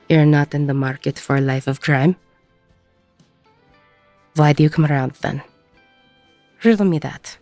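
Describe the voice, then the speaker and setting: A woman playing a weary, gruff, middle-aged ex-con bartender, husky and breathy, blunt and self-deprecating, in an intimate audio roleplay. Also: deep voice, darkish tone, dry recording. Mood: wry, teasing.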